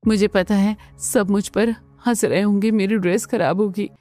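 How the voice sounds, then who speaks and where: A woman's voice: medium pitch, steady tone, moderate pace, warm tone, faint music behind, lightly acted. A woman voicing a timid, long-suffering young daughter-in-law who turns defiant, with emphatic delivery in a cartoon family melodrama. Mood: resigned, anxious.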